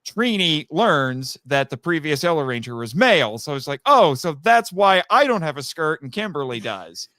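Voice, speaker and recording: A man's voice: on the high side, very animated, moderate pace, few pauses, pushed and bright, no room sound. A man talking casually as a podcast guest and audio drama writer, chatty and rambling, with frequent asides.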